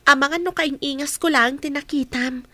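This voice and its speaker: A young Ilocano daughter in a radio drama. Her voice is high and sharply bright, with the wounded temper of someone who has been mocked. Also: moderate pace, dry recording. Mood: hurt, indignant. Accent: Filipino, Ilocano.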